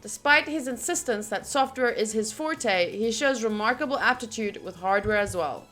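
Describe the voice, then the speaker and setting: A woman streamer reading a visual novel's narration aloud during a playthrough, with expressive, gushing asides. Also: on the high side, normal speed, soft background music. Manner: expressive, gushing asides between read passages.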